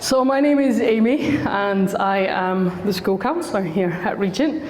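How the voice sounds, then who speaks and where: A woman's voice: mid-range voice, expressive, unhurried, a little mellow, a little hiss or hum. A Scottish woman working as a school counsellor, giving a friendly, chatty talk to parents with a slightly sore throat. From Scotland. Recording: echoey hall recording.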